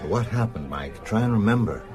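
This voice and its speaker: An actor playing a concerned, gently probing gentleman in a radio drama, earnest and questioning. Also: fairly low pitch, lively intonation, a little fast, music in the background, close to an everyday voice.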